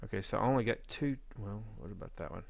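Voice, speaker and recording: A man giving an informal editing tutorial, talking haltingly as he thinks aloud while working. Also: on the low side, lively intonation, gravelly. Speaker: audio drama editor giving a tutorial. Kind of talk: informal software walkthrough.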